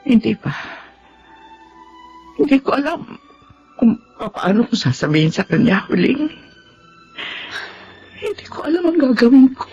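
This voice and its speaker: An actress playing an ailing, grief-stricken mother in a radio drama, speaking haltingly and emotionally. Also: fairly high pitch, very animated, mellow. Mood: sorrowful, anxious. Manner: halting, emotional, stammering delivery. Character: ailing, grief-stricken mother breaking hard news to her child. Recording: dull lo-fi radio drama with background music.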